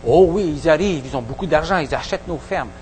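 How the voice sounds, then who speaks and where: A pastor with a Québécois French accent preaching a sermon in a halting way, with many pauses and restarts. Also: male voice, on the high side, darkish tone, in a large hall, slight background noise. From Quebec. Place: church hall.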